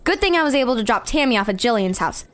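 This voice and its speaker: A woman's voice: a little high, lively intonation, quick pace, a bit bright. A young woman playing an exasperated, sensible teenage girl in an amateur audio drama. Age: young adult. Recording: music behind the dialogue.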